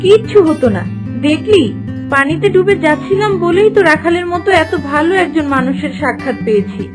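Woman as a high-voiced gentle young heiress. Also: brisk, music in the background. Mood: grateful, tender. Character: gentle, grateful, devoted young landlord's daughter. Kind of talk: Bengali fairy-tale cartoon dub.

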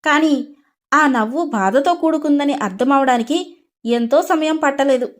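A woman's voice: high voice, unhurried. A woman storyteller reading a scripted story narration.

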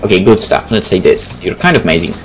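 A man's voice: deep voice, expressive, quick pace, fairly bright tone, poor audio. A man doing a let's play, reading visual novel text aloud and adding casual comments. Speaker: gaming video creator. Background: game music.

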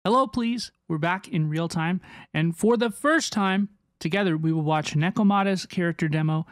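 A woman's voice: on the low side, moderate pace, no echo, clean studio sound. A woman reacting casually to game videos, gushing and enthusiastic as she comments. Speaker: reaction streamer. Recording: music behind the voice. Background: music and game video audio.

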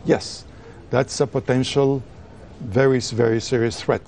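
A man's voice: medium pitch, fairly even intonation, unhurried, creaky, darkish tone. A man in his sixties answering as an expert in a TV news interview, searching for words. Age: 60s. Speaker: expert guest. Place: TV studio.

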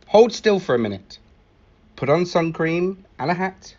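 Animated man reading a picture book, slightly muffled. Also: on the high side, a little slow. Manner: animated, acting out exclamations and calls. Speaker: library staff story reader. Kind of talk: expressive picture-book read-aloud.

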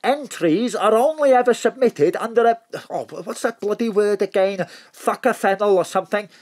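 A woman's voice: mid-range voice, normal speed, a little mellow, natural voice. A woman playing an exasperated, slightly befuddled official in an audio drama. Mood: weary, irritable.

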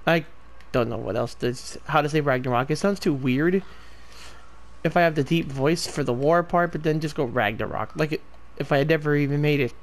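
A man's voice: fairly high pitch, fairly quick. A man giving rambling, casual commentary while playing a game. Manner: rambling, talks to himself. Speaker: gameplay streamer.

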